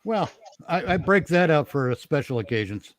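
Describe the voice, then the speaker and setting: A man's voice: a little high, very animated, normal speed. A man in casual, joking chat as a panelist, wisecracking and swearing freely. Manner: wisecracking, profane. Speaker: panelist on an old-time radio listening show.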